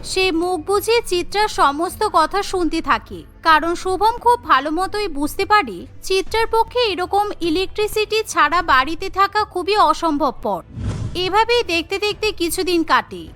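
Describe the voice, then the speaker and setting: A woman reading scripted cartoon story narration in an expressive storybook manner. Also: very high-pitched, moderate pace. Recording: music bed behind the voice.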